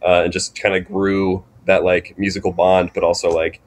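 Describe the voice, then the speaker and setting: Young male musician, lowish voice, informal halting storyteller, interview guest. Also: level tone, a little hiss or hum. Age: young adult. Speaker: band drummer, interview guest. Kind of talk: casual chat, storytelling.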